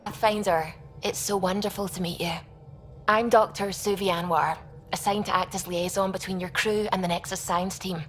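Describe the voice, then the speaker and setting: Mid-pitched actress as a young female scientist, eager, polite, video game, faint music. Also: steady tone, normal speed, natural voice. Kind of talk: video game cutscene acting.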